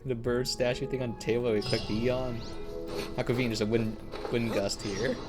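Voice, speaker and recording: A man's voice: medium pitch, flat delivery, moderate pace. A man giving casual, slangy live commentary as he streams a game. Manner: offhand, slangy. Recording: game music behind voice.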